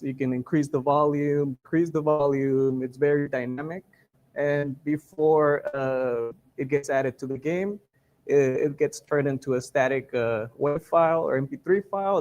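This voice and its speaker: A man from Guatemala, a software engineer, giving a technical conference talk in a hesitant way full of "uh" fillers. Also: fairly high pitch. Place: conference stage.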